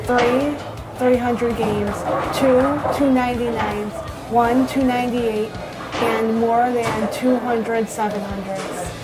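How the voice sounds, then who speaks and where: A woman's voice: fairly high pitch, poor audio. A woman interviewing people casually, sometimes reading aloud. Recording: loud music playing behind. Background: music, in a bowling alley.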